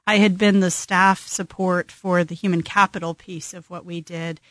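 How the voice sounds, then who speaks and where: A woman's voice: on the low side, steady tone, moderate pace, fairly bright tone, no room sound. A woman from New Mexico with an American accent, answering conversationally as an executive interview guest. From New Mexico, United States.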